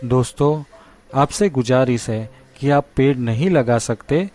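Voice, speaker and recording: A man narrating a scripted children's story in a simple, measured way. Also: medium pitch, a little mellow, soft background music.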